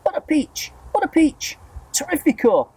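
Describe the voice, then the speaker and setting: A man voicing a bossy, snappish middle-aged aunt in a storybook, with yelled exclamations. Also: extremely high pitch, sing-song, normal speed, distant mic, voice pushed up, poor audio. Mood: ecstatic.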